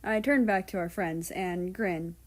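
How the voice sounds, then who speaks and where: A woman's voice: on the low side, unhurried. A woman who reads stories aloud and chats casually as the host of her channel. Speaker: audiobook-style reader and channel host. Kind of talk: scripted story narration and casual outro chat.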